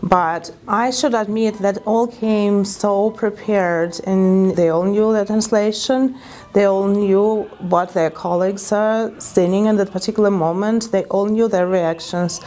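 A middle-aged woman with a Russian accent, a native Russian speaker, speaking as a diction coach in a documentary interview with a lapel mic, thoughtful and unhurried with small grammar slips. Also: medium pitch, fairly even intonation, darkish tone.

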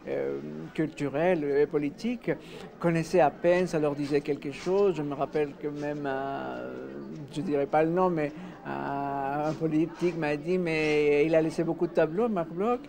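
Middle-aged man from Mexico, native Spanish speaker, soft mellow tone with gravelly creak, reflective interview delivery. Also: a little high, fairly even intonation, normal speed. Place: indoors, exhibition room.

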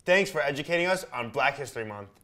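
A man's voice: mid-range voice, expressive, quick pace, bright, forceful tone, soft background music. A teenage boy anchoring a school newscast with upbeat, scripted linking lines. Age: teens. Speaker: student news anchor.

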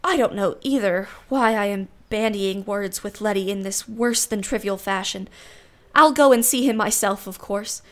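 A woman's voice: mid-range voice, moderate pace, a bit bright, natural voice. A woman playing a wry, genteel, romantically wavering aunt in a radio play, musing in an old-fashioned way.

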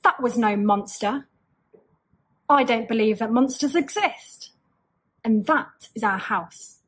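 A woman's voice: a little high, takes it slowly, husky. A woman voicing a blustering, easily frightened male leader of thieves in a children's storybook reading. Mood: defiant. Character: terrified male thief leader, gruff and blustering.

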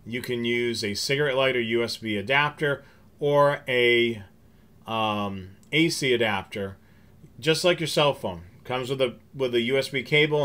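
A man's voice: mid-range voice, fairly bright tone. A middle-aged American man hosting a hobby show, talking casually and practically to viewers as he explains things step by step. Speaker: host of a railfan show. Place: small home office with bookshelves and curtains, indoors.

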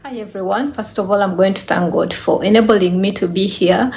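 A young woman from Uganda, appearing as a guest, tells her own story at home. She speaks earnestly and often hesitates mid-sentence, in a voice that is neither high nor low. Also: a little fast, creaky, darkish tone, faint music behind. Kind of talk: personal story telling. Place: indoors, living room.

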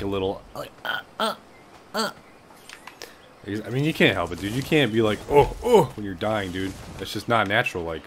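A young man with a deep voice giving casual, excitable gameplay commentary from his bedroom, swearing a lot and calling everyone dude. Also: slight background noise. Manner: excitable, swears constantly, says "dude" and "bruh". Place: small bedroom.

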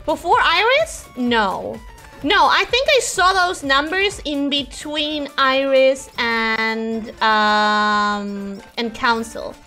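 A woman's voice: high-pitched, takes it slowly, soft background music. A woman who is a native Spanish speaker, chatting casually and expressively as she livestreams a game. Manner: animated, expressive, exclaiming. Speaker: game streamer. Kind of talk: casual livestream chat over gameplay.

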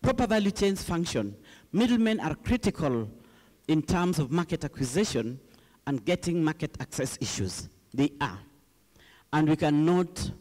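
A woman's voice: low voice, dark tone. A middle-aged woman speaking emphatically as a panelist, in short declarative sentences on a conference stage. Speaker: panelist, company chief executive. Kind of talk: panel discussion remarks. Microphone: handheld microphone close to mouth. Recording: reverberant hall sound.

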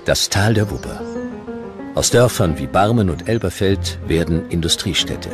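A male documentary narrator with a very low voice and animated intonation. He reads scripted history in measured, dramatic short sentences while music plays behind him.

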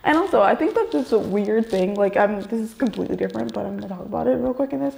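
A woman's voice: medium pitch, expressive, racing, gravelly, mellow. A young woman rambling casually to her camera in a story-time vlog, with many fillers and restarts, her voice a little off after a shot. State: slightly off voice after a vaccine shot. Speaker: vlogger. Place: bedroom gaming setup, indoors.

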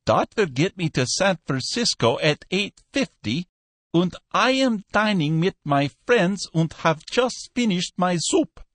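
A man voicing a fussy, unhurried art shop owner with a drawn-out, deliberate, colloquial way of talking. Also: high-pitched, very animated, fairly bright tone, no room sound, pitched above the performer's own voice, exaggerated voice. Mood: unhurried, put-upon.

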